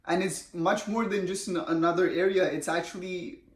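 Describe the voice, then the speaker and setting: A man's voice: sits high, steady tone, moderate pace. A young man from India, chatting casually in a storytime vlog and saying bro and man a lot. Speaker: vlogger. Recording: echoey room.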